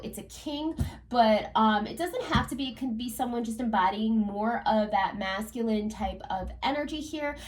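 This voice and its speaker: A woman with a hoarse, fading voice from a cold, giving a chatty tarot reading at home with lots of fillers. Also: fairly high pitch, recorded from a distance. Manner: chatty, filler-heavy, talks to spirit and viewers. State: hoarse, losing her voice from a cold. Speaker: tarot reader. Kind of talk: casual tarot reading to camera. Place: upstairs room at home.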